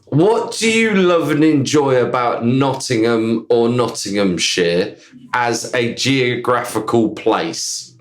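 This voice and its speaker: A man hosting a podcast, asking his guests questions in a slow, relaxed way. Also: medium pitch, reverberant. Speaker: podcast host. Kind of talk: casual podcast interview.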